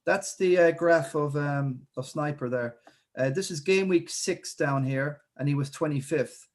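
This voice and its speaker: A man's voice: a little high, measured pace. A man hosting a casual fantasy football chat, rambling with many fillers and restarts. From Ireland. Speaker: podcast host.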